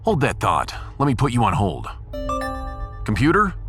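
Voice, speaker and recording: A man's voice: mid-range voice, wildly swooping pitch, moderate pace, a bit bright, faint music behind. A man playing a blunt, sardonic human starship captain in a sci-fi audio drama, with a wry, theatrical delivery. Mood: brusque.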